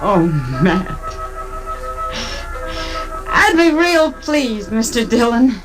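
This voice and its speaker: An American actress playing a forgiving, proud and wistful frontier woman in an old radio western, gentle and plainspoken. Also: on the high side, big pitch swings, very slow, music in the background, exaggerated voice. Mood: touched, grateful. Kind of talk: 1950s radio western acting. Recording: noisy old radio recording.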